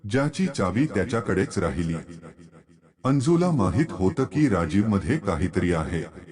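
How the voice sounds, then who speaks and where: A man's voice: low voice, darkish tone. A man narrating a scripted story as a storyteller.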